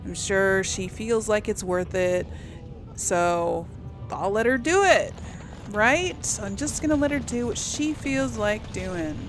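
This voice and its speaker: A woman's voice: medium pitch, animated, slow pace. A woman hosting a casual let's play, chatting and thinking aloud as she plays. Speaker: gaming channel host. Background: game music.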